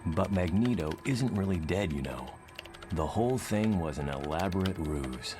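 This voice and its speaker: A man's voice: sits low, lively intonation, moderate pace, over music. A man playing an earnest, lovestruck intellectual mutant in a motion comic, articulate and confiding. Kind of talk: motion comic letter narration.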